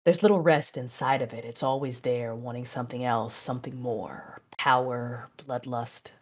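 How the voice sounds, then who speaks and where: A woman acting as a controlled, proud Sith mother, narrating and speaking in a measured, intense way in an audio drama. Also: a bass voice, fairly even intonation. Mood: brooding. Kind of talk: first-person dramatic narration and dialogue.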